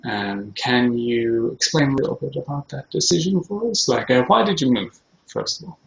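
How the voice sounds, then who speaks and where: A man from Scotland with a British accent, talking casually and haltingly as the host of a video show, recorded in his kitchen. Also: mid-range voice, expressive, vocal fry. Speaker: host interviewing himself. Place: home kitchen. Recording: roomy, echoey, dull sound with faint noise.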